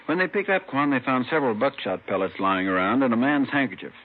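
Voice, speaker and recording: A man's voice: medium pitch, sing-song, fast talker, close to an everyday voice. A man playing a businesslike police detective, speaking in clipped, matter-of-fact phrases in an old radio crime drama. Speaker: supporting actor. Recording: tinny old radio recording, faint noise.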